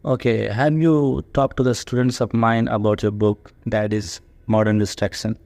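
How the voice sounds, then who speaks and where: A man, an English teacher, interviewing his student with measured, plain questions. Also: lowish voice, fairly even intonation, creaky, a little mellow, no room sound.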